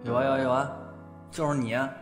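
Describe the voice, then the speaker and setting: A man acting a flustered, excitable young man confused about his feelings in a Mandarin radio drama, with a creaky voice. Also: medium pitch, fast, lightly acted. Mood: indignant. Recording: background music under the voice.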